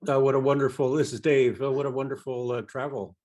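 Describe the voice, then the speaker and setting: Man, audience questions, halting, ums, mid pitch, video call. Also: flat delivery, darkish tone. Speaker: audience member asking questions.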